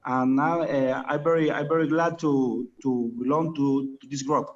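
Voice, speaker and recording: A young Cuban man, a native Spanish speaker, asking a question on a webinar in halting English and repeating his words. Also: medium pitch, steady tone. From Cuba. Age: young adult. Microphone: video call. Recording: dull lo-fi call audio.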